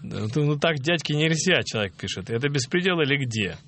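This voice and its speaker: A man's voice: on the high side, expressive, fairly quick, a bit bright. A man co-hosting a radio show in casual banter, informal and teasing, often repeating his phrases. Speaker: radio co-host.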